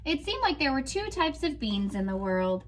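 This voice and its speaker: A woman teacher reading a picture book aloud to children, pausing to talk about the story. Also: high-pitched, very animated, slight background noise. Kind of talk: read-aloud storytime with commentary.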